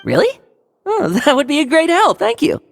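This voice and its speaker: A woman's voice: high-pitched, wildly swooping pitch, moderate pace, over music, full character voice. A woman playing a spirited, determined young female courier knight in a video game. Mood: grateful. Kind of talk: game voice acting.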